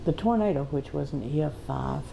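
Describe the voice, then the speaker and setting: A woman giving an unscripted museum tour, telling stories in halting, emphatic phrases. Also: low-pitched, expressive, gravelly, lo-fi sound, background noise. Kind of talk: unscripted guided tour talk. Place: inside a museum.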